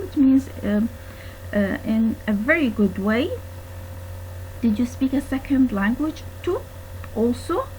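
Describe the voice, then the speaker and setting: Slow, high-voiced woman with an Arabic accent. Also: darkish tone, a little hiss or hum, low-quality recording. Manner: halting, hesitant explanations with many pauses. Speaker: university lecturer teaching English conversation. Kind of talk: lesson narration, reading textbook dialogues aloud and explaining words.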